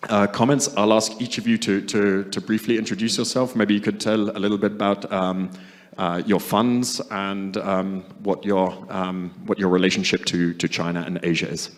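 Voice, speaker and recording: Man moderating in a reverberant hall. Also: lowish voice. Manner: hesitant, many fillers and restarts. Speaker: panel moderator. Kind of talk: conference panel moderation. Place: conference hall. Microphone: handheld microphone.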